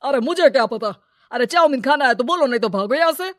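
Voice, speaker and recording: A woman's voice: high voice, animated, fast talker, fairly bright tone, no room sound, clean studio sound. A woman voicing a greedy, scheming village moneylender, a brusque and bossy husband in a cartoon moral story. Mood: brusque, pushy.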